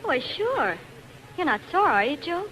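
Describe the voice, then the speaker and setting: A spirited young club singer with a high, very lively voice. She acts in vintage 1940s radio style, with some hiss on the recording. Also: measured pace, full character voice, low-quality recording. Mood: breezy, placating. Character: spirited young female club singer, sweet then wounded.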